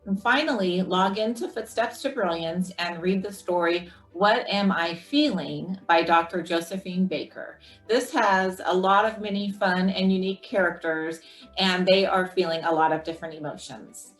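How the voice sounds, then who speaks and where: A woman hosting a children's story time, speaking clearly and encouragingly to young listeners. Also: fairly low pitch, moderate pace, reverberant, soft background music. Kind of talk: friendly children's program wrap-up.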